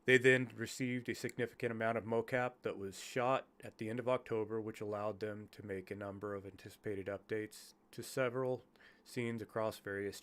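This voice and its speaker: Man, low-ish voice, nasal and stuffed-up, halting read-aloud of technical notes. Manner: halting reading with stumbles over technical terms. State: congested from illness. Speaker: YouTuber reading game development notes. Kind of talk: reading a report aloud.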